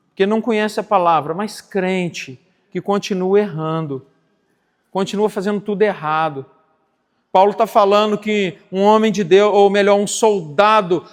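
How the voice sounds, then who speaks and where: A man in his late forties preaches in a church, his voice sitting unusually high for a man. He drives points home by repeating phrases, and the sanctuary's long reverb trails after each line. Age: 40s. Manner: emphatic, repeats phrases for stress. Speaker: pastor. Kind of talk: church teaching sermon.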